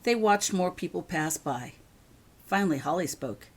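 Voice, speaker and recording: A woman's voice: fairly low pitch, animated, normal speed. A woman reading scripted audiobook narration of a story as its author. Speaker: author reading their own fan fiction.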